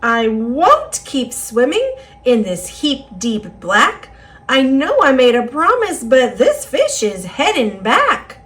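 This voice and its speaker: A woman's voice: high-pitched, huge pitch swings, takes it slowly, a bit bright, full character voice. A woman voicing an earnest, anxious male fish from a picture book in emphatic, singsong rhyme. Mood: discouraged. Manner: emphatic, singsong rhyming declarations. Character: earnest, anxious male cartoon fish, brave but afraid.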